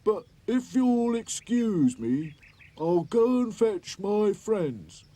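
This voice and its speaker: A man voicing a small, kindly, bumbling male rag doll, speaking slowly and sounding puzzled. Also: high-pitched, very animated, dark tone, voice pushed up, full character voice.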